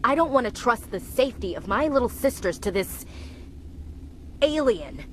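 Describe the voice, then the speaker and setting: A woman's voice: a little high, lively intonation, moderate pace, a little hiss or hum, close to an everyday voice. An actress playing a distrustful, prickly female soldier in a video game, with a blunt, challenging manner. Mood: wary, insistent.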